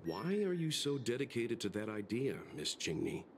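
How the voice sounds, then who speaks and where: A man voicing a calm, wryly thoughtful young traveler in a video game, with measured delivery. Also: mid-range voice, a little hiss or hum. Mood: philosophical.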